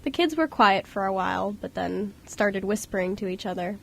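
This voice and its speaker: A woman's voice: medium pitch, animated, moderate pace, vocal fry, a bit bright. A woman reading scripted storybook narration as the narrator of an amateur radio drama.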